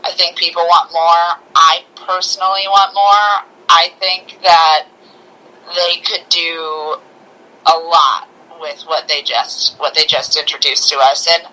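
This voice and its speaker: A woman co-hosting a casual review chat, speaking informally and haltingly with open enthusiasm. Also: lowish voice, fairly even intonation, pushed and bright, rough recording. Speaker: podcast co-host reviewing films.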